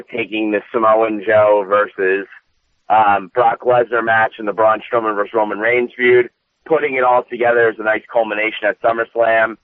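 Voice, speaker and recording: A high-energy man with a booming voice, co-hosting a casual wrestling recap and swearing freely. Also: no room sound. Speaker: podcast co-host. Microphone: phone call. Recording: dry tinny phone line.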